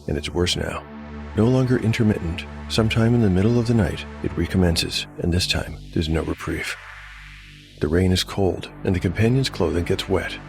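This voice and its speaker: Solo game master and narrator: man, very low gravelly voice, dramatic storytelling, music underneath. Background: prominent music.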